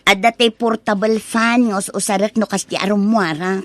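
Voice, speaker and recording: A woman's voice: medium pitch, steady tone, normal speed, no echo, close to an everyday voice. A woman playing a boastful, vain young mother married to money, haughty and falsely modest, in an Ilocano comedy radio drama. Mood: smug. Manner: haughty, fake-modest, dismissive.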